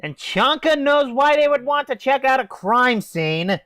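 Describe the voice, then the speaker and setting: A man's voice: extremely high pitch, lively intonation, measured pace, a bit bright, no echo, studio quality. A teenage boy casually recapping an anime episode in an offhand, hesitant way with fillers and swearing. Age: teens. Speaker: anime reviewer.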